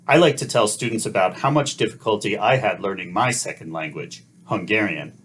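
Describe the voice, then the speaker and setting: A middle-aged man narrating a scripted teacher-training lesson in a calm, measured, explanatory way. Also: on the low side, husky.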